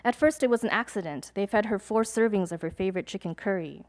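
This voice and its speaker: Woman reading her poems to an audience. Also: medium pitch. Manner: measured, deliberate reading with chatty hesitant asides between poems. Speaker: poet. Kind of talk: poetry reading with casual asides to an audience. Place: live reading event before an audience.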